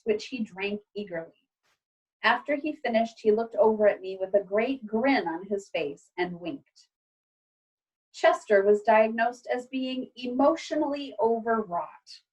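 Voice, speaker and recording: A woman reading a children's storybook aloud like a teacher, with expressive, clearly phrased delivery. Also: on the high side, moderate pace, low-quality recording.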